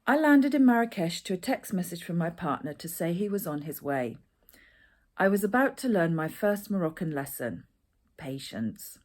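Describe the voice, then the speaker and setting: A woman's voice: lowish voice, very animated, moderate pace, a little mellow. A woman reading a personal narrative aloud as its author. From Edinburgh, Scotland. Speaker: author reading their own essay.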